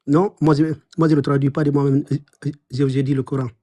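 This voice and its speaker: A man's voice: fairly high pitch, little pitch movement, fairly quick, mellow. A man calling into a live debate, hesitant and defensive, stammering as he answers. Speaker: caller.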